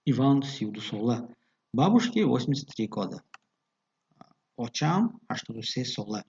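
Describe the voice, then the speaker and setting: A man teaching a bilingual grammar lesson, explaining patiently with fillers and reading example phrases slowly. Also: medium pitch, sing-song, gravelly, darkish tone, husky. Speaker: language teacher. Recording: dull lo-fi recording.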